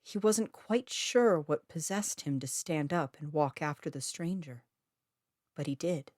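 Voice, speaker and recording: A woman's voice: deep voice, animated, measured pace, a little mellow, no echo, clean studio sound. A woman reading her own eerie short story aloud as scripted narration.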